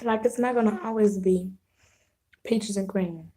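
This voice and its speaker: Hoarse woman chatting slowly on a live stream. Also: mid-range voice, mellow. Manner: unhurried, rambling, clears throat, frequent restarts. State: hoarse, losing her voice, sore throat. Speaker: vlogger hosting a live video. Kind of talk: casual live-stream chat.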